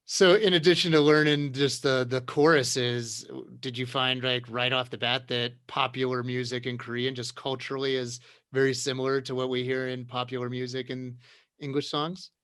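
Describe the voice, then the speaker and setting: A man's voice: mid-range voice, level tone, moderate pace, fairly bright tone, smooth, no echo, studio quality. A man moderating an online round-table, chatty and encouraging, often restarting his questions.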